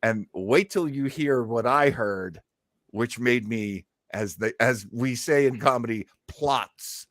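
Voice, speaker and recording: A man's voice: medium pitch, slow pace, dry recording, clean studio sound. A man chatting casually as co-host of a show, rambling and jokey as he introduces things. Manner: animated, rambling, jokey, acts out reactions. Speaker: podcast co-host introducing old radio shows. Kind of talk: casual chat.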